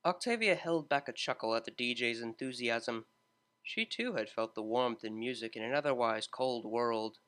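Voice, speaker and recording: A man narrating a fan-written story in a steady, measured reading. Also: medium pitch. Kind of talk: fanfiction audiobook narration.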